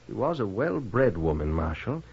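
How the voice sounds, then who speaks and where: A man's voice: lowish voice, big pitch swings, unhurried, a little mellow, slightly rough, heavily acted. A man with an American accent playing a haughty, intolerant Eastern husband in a radio western, with a stiff, pompous manner. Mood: stiff, condescending. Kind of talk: 1950s radio western acting. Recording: muffled vintage radio recording.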